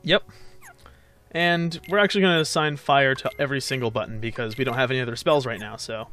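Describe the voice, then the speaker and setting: A man's voice: medium pitch, steady tone, fast, sharp tone. A young man giving casual, explanatory commentary as he plays a video game. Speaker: gamer hosting a let's play. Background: game music.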